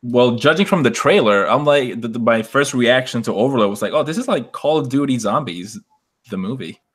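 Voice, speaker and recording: A man co-hosting a podcast, talking casually and a bit haltingly about games and movies. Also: mid-range voice, animated, slightly rough. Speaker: podcast co-host.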